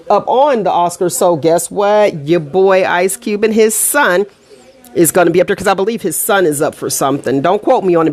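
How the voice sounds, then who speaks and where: A middle-aged woman with an American accent hosts a casual livestream show. Her voice sits slightly low. She talks straight to her viewers in animated, colloquial language, often stepping aside from her point and returning to it. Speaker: online radio show host.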